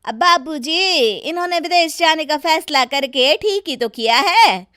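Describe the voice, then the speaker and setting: A woman's voice: high-pitched, animated, a little slow, fairly bright tone, dry recording, clean studio sound. A woman playing a pushy, ambitious young wife who nags and persuades, in a story. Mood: persuasive. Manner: nagging, persuasive.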